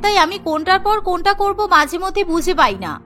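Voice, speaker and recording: A woman's voice: very high voice, fast talker, a bit bright, soft background music. A woman playing an overworked, put-upon young daughter-in-law in a cartoon, explaining and complaining wearily. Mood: apologetic, dutiful. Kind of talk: cartoon voice acting.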